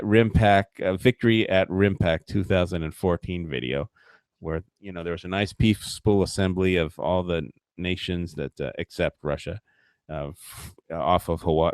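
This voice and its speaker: A man's voice: low voice, vocal fry, dry recording, studio quality. A man hosting a livestream, rambling in casual commentary with frequent fillers, profane and mocking. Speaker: livestream host.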